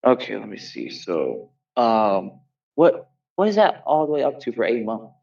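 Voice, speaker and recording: A man's voice: fairly low pitch, very animated, moderate pace, gravelly, darkish tone, far from the mic, dull audio. A man chatting casually with a friend, curious and full of repeated questions. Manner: curious, asks lots of questions, repeats himself. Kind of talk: casual chat.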